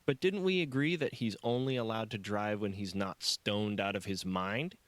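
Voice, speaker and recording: A man's voice: lowish voice, normal speed, a bit bright, dry recording, lightly acted. A man reading the part of an irritated, no-nonsense young woman leader in an audiobook, terse and exasperated. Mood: controlled irritation.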